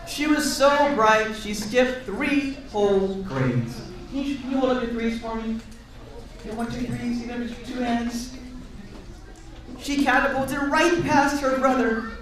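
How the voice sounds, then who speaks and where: High-voiced man reading aloud, echoey room. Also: a little slow. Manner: expressive, encouraging, chatty with questions to listeners. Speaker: storyteller reading a picture book aloud to children. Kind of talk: animated read-aloud with asides to a young audience. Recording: echoey room, distant mic, audience sounds.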